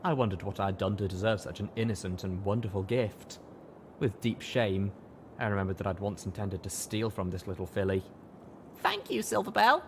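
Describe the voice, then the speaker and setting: A man's voice: on the low side, slight background noise. A man reading a scripted story aloud as an audiobook narrator. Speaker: audiobook reader.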